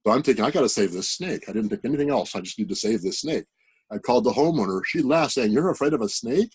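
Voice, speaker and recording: A man's voice: mid-range voice, expressive, fast talker, slightly rough. A man on a video call earnestly telling a personal spiritual story in a plain, direct way. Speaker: participant sharing a story.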